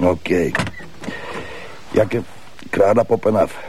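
Very deep-voiced, gruff Flemish burglar. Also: male voice, slow pace, background noise. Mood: gruff, cocky. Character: gruff, cocky burglar in charge of a break-in. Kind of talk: TV crime drama dialogue.

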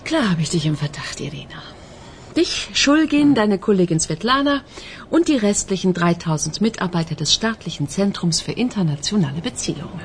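A woman's voice: medium pitch, lively intonation, normal speed, a little hiss or hum, natural voice. A woman playing a middle-aged police detective in a radio crime drama, matter-of-fact, wry and unyielding. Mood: firm, cool. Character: dry, unyielding female Moscow police detective.

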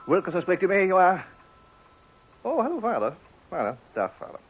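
A man's voice: high voice, huge pitch swings, normal speed, breathy edge, full character voice, poor audio. An actor in a radio play playing a flustered, weary father, hesitant, stammering and exasperated. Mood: harried. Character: flustered, weary father awaiting a birth at night.

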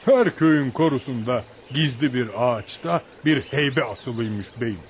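A male actor plays a rustic village man in a radio theatre piece, with a mid-pitched voice. He tells legends of outlaws with admiration and lively folk-storyteller flair, always deferential to his listener. The recording carries a faint noise. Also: unhurried, natural voice. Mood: reflective storytelling. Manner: animated folk storyteller, addresses listener as sir. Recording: noisy radio drama recording.

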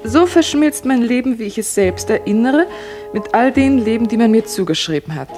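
Woman acting young female secretary, radio drama, highish voice, polite, playful, slightly cheeky. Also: expressive, moderate pace, mellow, soft background music, lightly acted. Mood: reciting. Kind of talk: German radio play acting.

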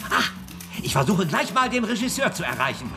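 A gloating, jovial boss in a radio drama. His voice is on the high side and moves around a lot. Music plays behind. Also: very animated, a little fast. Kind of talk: German radio drama acting.

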